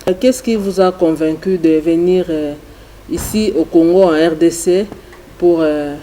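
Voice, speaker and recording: A male TV host asking formal, measured questions in a studio interview. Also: fairly high pitch, mellow, a little hiss or hum.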